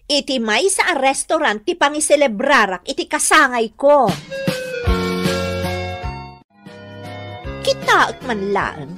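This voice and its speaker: A woman's voice: high voice, very animated, a little slow, music in the background. A woman with a Filipino accent playing a vain, scolding young working daughter in a radio drama. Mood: boastful, scornful. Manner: sharp, scornful, boastful. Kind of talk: Ilocano radio drama acting.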